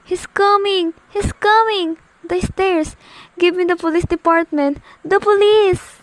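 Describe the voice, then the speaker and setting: A woman's voice: very high voice, slight background noise. A young woman acting a nervous, demanding bedridden woman alone at home in an amateur radio play. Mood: panicked. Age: teenager. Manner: imperious, nervous, halting delivery.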